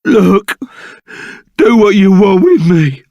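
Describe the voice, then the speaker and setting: Casting: a gruff, loyal Cockney rogue for a game, pitched fairly high with gravelly creak, blunt and slangy. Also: male voice, lively intonation, takes it slowly, a little mellow, dry recording, clean studio sound, heavily acted. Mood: remorseful, pleading. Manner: rough colloquial slang, blunt and emphatic. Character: gruff, loyal reformed bandit sidekick, plain-spoken and excitable. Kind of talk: video game character voice acting.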